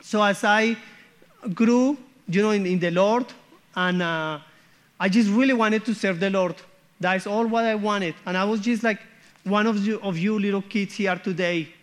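A man's voice: high voice, moderate pace. A man, sharing his church testimony as a guest missionary in an earnest way that often checks in with "okay?" and "hmm?". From Colombia.

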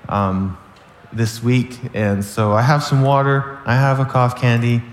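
A man delivers a sermon in a raspy, hoarse voice worn down by a cold. He talks earnestly, backing up to restart phrases and checking in with the listeners. His voice rings through a large hall with a long echo, over a faint hum in the room. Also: medium pitch, level tone, a little slow. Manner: earnest, restarts phrases, asks "right?" often. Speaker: preacher. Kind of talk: sermon-style Bible teaching.

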